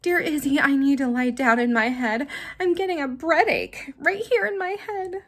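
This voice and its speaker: A woman's voice: sits high, normal speed, lightly acted. A woman voicing a weary, complaining mother from a children's storybook in a plaintive, dramatic manner. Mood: weary complaint.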